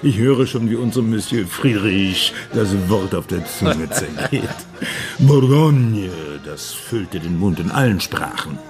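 An actor playing a well-off middle-aged dentist hosting friends, a smug wine connoisseur with expansive, ironic banter in a naturalistic radio drama. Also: male voice, fairly low pitch, animated, a little slow, few pauses, over music. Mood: conspiratorial, smug.

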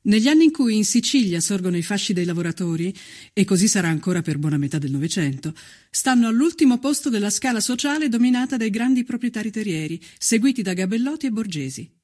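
A man narrating a scripted historical account for radio in a measured, literary manner. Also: high-pitched, animated, darkish tone. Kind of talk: scripted dramatic documentary narration.

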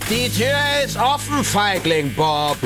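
A man's voice: high voice, sing-song, slow, over music, heavily acted. A man playing an irritable, hard-drinking bandit chief who blusters through a spoof western radio play. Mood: bossy.

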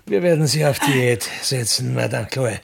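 A man playing a put-upon, drily polite household helper in a radio play, courteous but quietly grumbling. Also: medium pitch, lively intonation, moderate pace, vocal fry, slight background noise. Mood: dry grumbling.

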